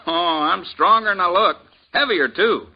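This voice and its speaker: A man's voice: high-pitched, moderate pace, slightly rough. An actor playing a slight, cocky young man posing as a blacksmith, coaxing and wheedling, in 1950s radio drama acting on a lo-fi recording. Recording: lo-fi old radio with faint hiss.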